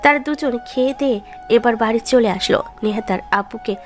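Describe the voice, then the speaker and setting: A woman's voice: fairly high pitch, normal speed, faint music behind. A woman narrating a scripted romantic story as its storyteller.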